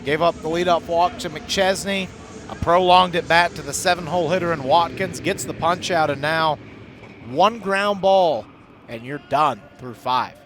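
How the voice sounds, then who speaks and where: A man's voice: fairly high pitch, moderate pace, fairly bright tone, faint music behind. A man giving conversational, explanatory color commentary on a live baseball radio broadcast. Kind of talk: conversational live sports analysis. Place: outdoor ballpark broadcast.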